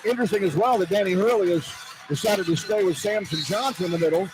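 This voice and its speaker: A man calling live basketball play-by-play on radio from a noisy arena, in excited, clipped phrases that follow the action. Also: high voice, fast, music in the background. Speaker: play-by-play announcer. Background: loud arena noise.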